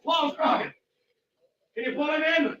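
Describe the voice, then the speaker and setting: A commanding male mountaineer, high and sharp-voiced, gives clipped orders. The old radio drama recording is echoey and distant. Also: measured pace, muffled recording, exaggerated voice. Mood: urgent. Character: commanding, steady male mountaineer coaching climbers on the rope.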